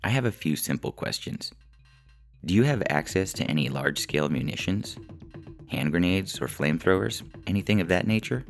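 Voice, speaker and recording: A man acts out an officious male support agent in a sci-fi story. He is polite, scripted and completely unruffled, with a gravelly voice and music clearly heard behind him. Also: low-pitched, brisk, voice pushed up. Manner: scripted, bureaucratic, politely deadpan.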